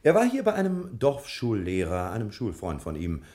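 An actor playing a boastful, slyly condescending private detective in a theatrical mid-century radio drama style. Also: male voice, lowish voice, wildly swooping pitch, moderate pace, mellow. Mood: sly, triumphant. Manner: pompous, theatrical, chuckling, talks of himself in third person.

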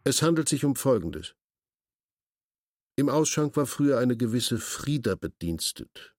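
An actor playing a curt, imperious senior official in a radio drama, speaking in a formal, pedantic, bureaucratic manner. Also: male voice, medium pitch, big pitch swings, a little slow, a little mellow, dry recording, clean studio sound. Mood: officious, detached. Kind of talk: German radio drama acting.

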